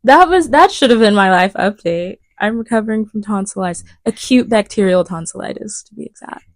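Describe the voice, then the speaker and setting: A woman's voice: mid-range voice, animated, brisk, no echo. A young woman co-hosting a podcast in casual chat, searching for words and trailing off, with a lingering cough. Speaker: podcast co-host.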